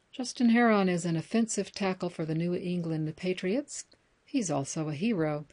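An American woman reading news articles and book excerpts aloud as a reader, in a measured, plain announcer-like way. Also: on the low side, darkish tone.

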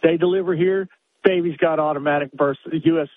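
A man's voice: a little high, steady tone, brisk, dry recording, phone-quality sound. An American man in his sixties calling a radio show by phone, explaining his point insistently and off the cuff. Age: 60s. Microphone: telephone.

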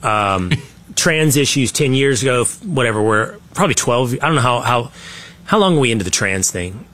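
Host type, Texan man with a light accent, opinionated, mid pitch. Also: animated, normal speed, a bit bright. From Texas, USA. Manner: conversational, opinionated, with restarts. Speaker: show host. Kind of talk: casual panel chat.

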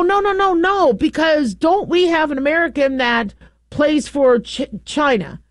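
A woman's voice: fairly high pitch, no room sound, studio quality. A woman hosting a call-in radio talk show, talking in a loose, rambling way with many restarts and fillers.

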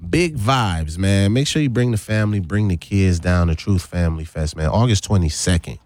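A young man co-hosting a radio show, joking around in slangy, animated banter. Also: low voice, no echo. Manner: animated, laughing, slangy, quick to imitate others. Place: radio studio.

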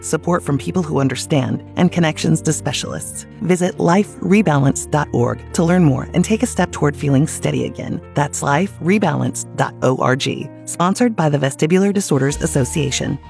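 An announcer reading a radio public service announcement in a calm, reassuring way. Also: medium pitch, creaky. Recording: radio ad with music.

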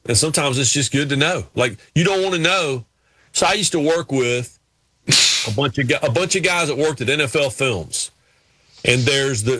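Male co-host, bright dry voice, rambling self-deprecating storyteller. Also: mid-range voice, no room sound. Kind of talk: casual sports talk.

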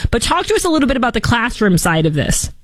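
A woman's voice: a little high, sing-song, fast, no room sound, clean studio sound. Upbeat woman radio host. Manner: upbeat, promotional. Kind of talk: talk radio interview.

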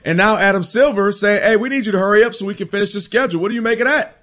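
A man's voice: high voice, racing, a bit bright. An American man co-hosting a casual sports talk radio show in an informal, conversational way.

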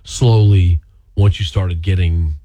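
Husky low-voiced man, losing his voice. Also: fairly even intonation, dark tone, dry recording. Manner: chatty, halting restarts, short affirmations. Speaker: radio host. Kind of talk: casual radio interview.

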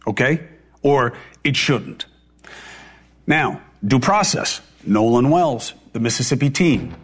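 A man's voice: a little high, a bit bright, husky. Blunt man hosting an opinion show. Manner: blunt, emphatic, talks straight to the audience. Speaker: commentary show host. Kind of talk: opinion commentary.

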